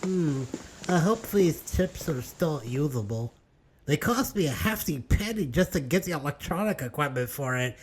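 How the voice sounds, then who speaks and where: A man voicing a nerdy, easily excited intern with a theatrical manner in a web cartoon. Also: a little high, normal speed, husky. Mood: musing. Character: aggrieved nerdy male intern, easily overjoyed. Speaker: voice actor. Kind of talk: amateur web cartoon acting.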